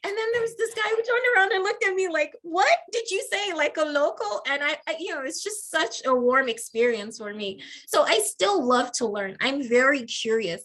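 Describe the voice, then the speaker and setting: Bright-voiced Nigerian woman telling stories. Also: high voice. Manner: warm storytelling with frequent laughs. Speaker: guest, faculty member. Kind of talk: interview over video call. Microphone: video call.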